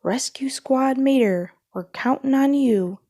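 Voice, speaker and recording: A woman's voice: high-pitched, measured pace, dark tone, no echo, studio quality, voice pushed up. A woman playing a serious emergency dispatcher in a children's storybook, speaking in an official, urgent manner.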